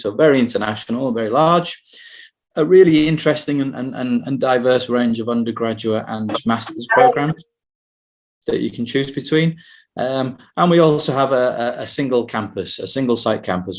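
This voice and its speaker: A middle-aged man, a university recruitment manager, giving a measured, explanatory webinar presentation over a video call microphone. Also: medium pitch, creaky.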